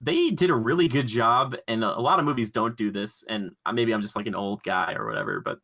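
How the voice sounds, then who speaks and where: A man's voice: lowish voice, sing-song, fairly quick, vocal fry, sharp tone. A man co-hosting a podcast, talking casually and conversationally with enthusiasm about films. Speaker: podcast co-host.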